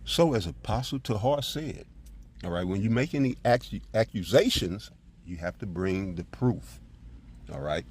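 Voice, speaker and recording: A man's voice: fairly low pitch, lively intonation, moderate pace, a little mellow, slightly rough. A man preaching and commenting on scripture in an informal, emphatic way, often checking in with "all right.". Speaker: preacher and presenter.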